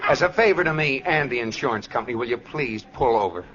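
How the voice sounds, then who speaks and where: A man's voice: mid-range voice, expressive, fast, fairly bright tone, natural voice. An actor playing an exasperated, sarcastic husband in a 1940s radio comedy, his strained patience tipping into alarm. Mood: weary exasperation. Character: exasperated husband teaching his wife to drive. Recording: noisy old radio recording, dull, studio audience.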